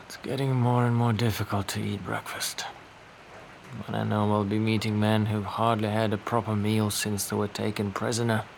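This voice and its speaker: Weary doctor with low, creaky voice. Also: male voice, level tone, normal speed, slight background noise. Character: weary, conscientious male Norwegian doctor in 1945. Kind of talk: naturalistic audio drama acting.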